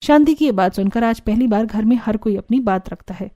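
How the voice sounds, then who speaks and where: A woman's voice: fairly high pitch, brisk, warm tone, soft background music. A woman narrating a scripted cartoon family story.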